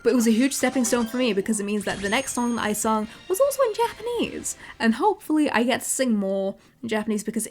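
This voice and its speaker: A young woman with an English accent, chatting casually on a livestream, often repeating words and filling pauses. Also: fairly high pitch, expressive, darkish tone, faint music behind. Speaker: VTuber streamer.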